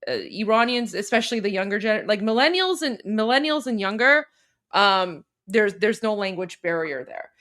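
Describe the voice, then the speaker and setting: A woman's voice: mid-range voice, expressive, moderate pace, fairly bright tone. A woman hosting a casual livestream, chatty and full of fillers, Persian her mother tongue but speaking fluent English. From Canada. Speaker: livestream host.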